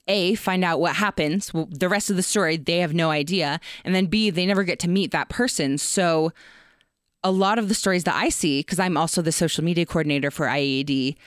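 A woman with a slightly low voice talks as an interviewer in a dry, echo-free recording. Her style is conversational and informal, and she voices short quotes as she goes. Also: clean tone. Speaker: interviewer, social media coordinator.

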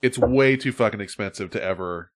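A man's voice: on the low side, brisk. A man hosting a podcast in casual chat, rambling, swearing freely and laughing often. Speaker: podcast host.

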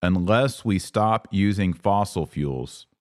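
A man's voice: low voice, expressive, slow pace, darkish tone, dry recording, studio quality. A man hosting a podcast, talking casually as he interviews a guest on current affairs. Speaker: podcast host.

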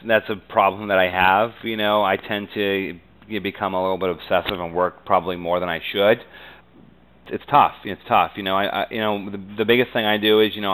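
An American man giving conversational interview answers as a business guest, earnest and halting, often saying "you know.". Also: low voice, a bit bright, clean tone, poor audio.

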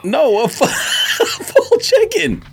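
A man's voice: very high voice, huge pitch swings, takes it slowly, gravelly, background noise. A man co-hosting a casual podcast, bantering and arguing in an exasperated way and breaking easily into laughter. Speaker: podcast co-host reading out sneaker releases.

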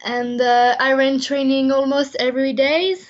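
A young woman with a French accent, speaking English as a second language, answering interview questions over the phone and pausing often to find her words. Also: high voice, flat delivery. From France. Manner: halting, searching for words, many uh fillers. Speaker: interviewed athlete. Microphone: phone line. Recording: lo-fi phone line.

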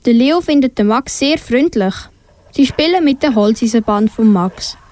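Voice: medium pitch, lively intonation, normal speed, darkish tone, dry recording. A young female narrator reading a scripted children's audio story.

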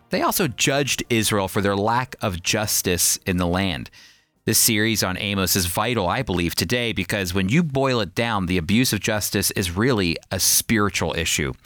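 A man teaching the Bible on radio, speaking earnestly and emphatically in deliberate, repeated phrases. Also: lowish voice, a bit bright, no echo, studio quality.

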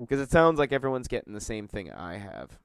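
A congested man with a low, smooth voice, talking in a loose, rambling podcast chat. He fills his sentences with likes and ums. The sound is dry and close, with no room echo. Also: wildly swooping pitch, a little fast. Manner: rambling, filler-heavy storytelling. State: congested from a cold. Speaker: podcast host.

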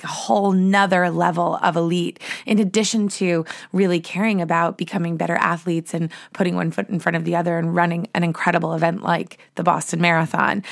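Hoarse, gravelly woman podcast host, middle-aged, earnest and enthusiastic, lowish voice. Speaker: podcast host and running coach. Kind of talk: solo podcast talk.

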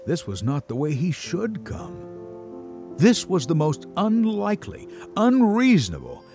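A man's voice: high-pitched, huge pitch swings, unhurried, a little mellow, soft background music. A man narrating a scripted Bible story in a dramatic storytelling style.

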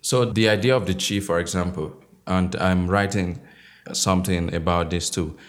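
Deep voiced nigerian-born novelist guy in his 30s, slow thoughtful answers, lots of uhs. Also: steady tone. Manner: measured, with many fillers and restarts. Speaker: novelist guest.

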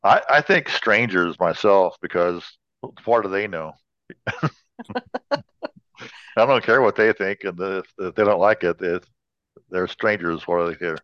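Casual American male co-host, low-pitched, anecdotal and joking, slightly muffled sound. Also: expressive, a little slow, long pauses, a bit bright, no echo. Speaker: podcast co-host. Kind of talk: casual chat.

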